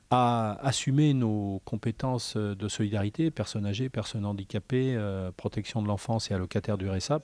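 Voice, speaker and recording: A man speaking as a local government official in an interview, halting and often restarting. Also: mid-range voice, warm tone, no echo. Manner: halting, hesitant, with repeated restarts. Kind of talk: interview answer.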